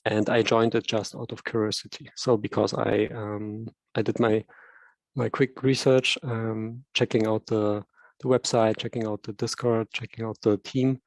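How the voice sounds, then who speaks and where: Man, monotone delivery, dry close sound, hesitant with fillers. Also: fairly low pitch, normal speed, no echo. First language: German. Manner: hesitant, many fillers and repeats. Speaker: community moderator. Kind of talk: casual chat on a video call.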